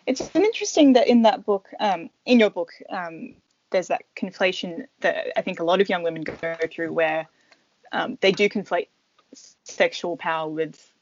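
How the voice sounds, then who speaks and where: A woman's voice: on the low side, normal speed, a little mellow, highs cut off. A woman hosting a podcast, welcoming guests and asking questions conversationally. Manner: conversational, some fillers. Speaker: podcast host.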